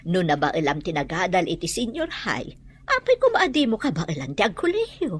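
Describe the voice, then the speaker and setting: A woman playing a nagging, inquisitive grandmother with a raspy voice in a radio drama. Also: on the low side, huge pitch swings, normal speed, heavily acted. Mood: stern concern.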